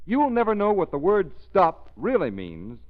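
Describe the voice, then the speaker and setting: A man's voice: high voice, wildly swooping pitch, normal speed. An American man working as a 1930s radio announcer, reading an advertisement with an emphatic salesman's delivery. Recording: muffled 1930s radio recording.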